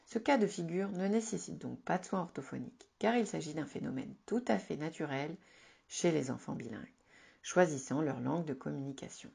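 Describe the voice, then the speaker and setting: A woman narrating a written advice article in a calm, measured, instructive reading. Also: lowish voice, gravelly, breathy edge. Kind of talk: scripted informative narration.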